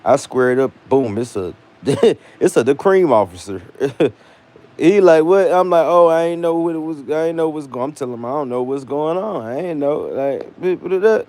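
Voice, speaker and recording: A man's voice: fairly high pitch, moderate pace. American man telling a casual story. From United States. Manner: animated retelling with slang and acted-out dialogue. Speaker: vlogger.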